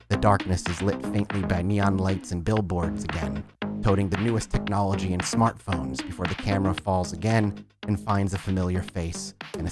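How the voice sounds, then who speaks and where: A man hosting and narrating a tabletop roleplaying game as storyteller, with a dramatic, descriptive manner. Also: deep voice.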